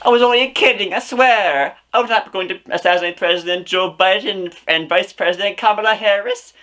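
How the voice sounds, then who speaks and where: Casting: male actor as high, bright cartoon plumber hero, distraught, pleading, stammers and repeats himself. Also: fairly quick. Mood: panicked pleading.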